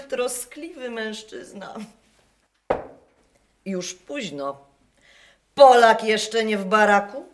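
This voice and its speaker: A woman playing a haughty, mocking German officer's wife in a wartime radio play, with a theatrical, sardonic delivery. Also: a little high. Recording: noisy live barn recording.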